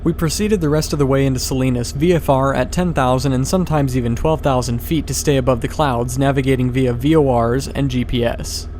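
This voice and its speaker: A man's voice: medium pitch, fairly even intonation, fairly quick, hardly any pauses, darkish tone, slight background noise. A man reading a scripted voice-over as a pilot and video host.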